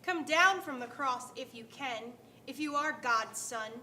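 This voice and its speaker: A woman's voice: high-pitched, expressive, unhurried, sharp tone, a little hiss or hum, heavily acted. A woman playing a jeering, vengeful member of a mob, declaiming sharply in a staged Bible reading.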